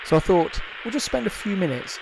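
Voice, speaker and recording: A man's voice: high-pitched, sing-song, fairly quick, creaky, heavy background noise. A man hosting a casual live demonstration, talking unscripted and thinking aloud, often repeating his phrases. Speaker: livestream host and hobbyist developer. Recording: loud music under the voice. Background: ambient music.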